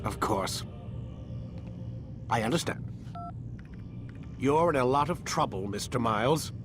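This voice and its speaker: Lively-pitched, impatient male doctor by an actor. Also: measured pace, lightly acted. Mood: curt. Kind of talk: video game acting. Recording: music behind the voice.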